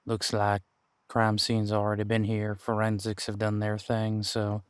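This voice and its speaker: Demon detective male actor, audio drama: deeper voice, laid-back, reassuring partner, folksy phrasing, very dry sound. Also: completely flat delivery, clean tone, dry recording, lightly acted. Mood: focused. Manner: folksy, casual, reassuring, hesitant pauses. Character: easygoing male demon homicide detective mentoring new partner. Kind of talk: audio roleplay drama, conversational monologue.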